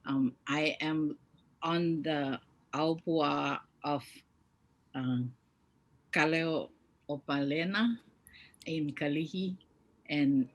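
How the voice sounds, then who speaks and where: Slow, low-voiced woman in her fifties. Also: dull audio. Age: 50s. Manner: slow, halting, with pauses and fillers. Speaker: community youth mentor. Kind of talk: panel introduction over video call. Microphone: video call.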